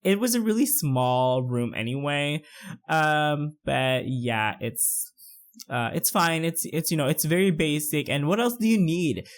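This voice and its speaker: Twenties man from the Philippines, casual rambling voice-over, a touch high-pitched. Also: lively intonation, unhurried. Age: 20s. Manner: chatty, rambling, many fillers. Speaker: YouTuber narrating a build.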